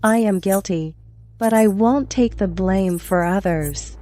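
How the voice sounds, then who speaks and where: A woman playing a resolute young noblewoman who testifies formally and deliberately in a costume court drama. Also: medium pitch, warm tone, close to an everyday voice. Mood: composed, resolute.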